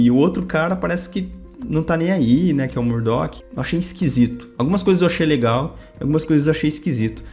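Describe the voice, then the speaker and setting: A young Brazilian man with a São Paulo state accent speaks casually to his viewers over background music. His mid-pitched voice moves through personal anecdotes in loose slang, slipping into quoted dialogue as he goes. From Campinas, Brazil. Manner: informal, slangy, animated retelling with quoted dialogue. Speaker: video creator telling personal stories.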